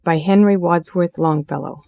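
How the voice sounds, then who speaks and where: Woman doing a title intro, kinda low and mellow, very dry sound. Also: moderate pace, vocal fry, dry recording. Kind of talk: scripted title announcement.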